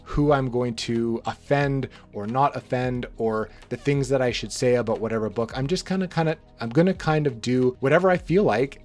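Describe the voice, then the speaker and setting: Rambling man, slightly hoarse. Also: mid-range voice. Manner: relaxed, rambling, frequent restarts and fillers. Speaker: book channel host. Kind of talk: rambling casual monologue to camera.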